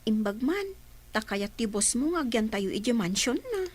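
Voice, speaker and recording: An actress playing an excitable, nosy, cheerful younger sibling in a radio comedy drama, wide-eyed and questioning. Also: female voice, on the high side, moderate pace, breathy edge. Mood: curious.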